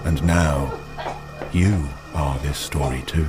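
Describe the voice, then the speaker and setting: The brooding voice of a story in a radio play. He narrates very deep and deliberately, with portentous menace, over loud music. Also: fairly even intonation. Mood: ominous. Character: omniscient storyteller embodying the story itself, brooding, ominous. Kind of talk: scripted first-person radio drama narration.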